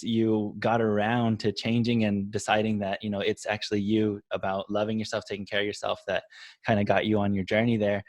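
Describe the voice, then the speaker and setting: Host: man, low voice, dry close sound, encouraging, fillers. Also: no echo. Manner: encouraging, many "you know" fillers. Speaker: podcast host. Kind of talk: casual interview questions.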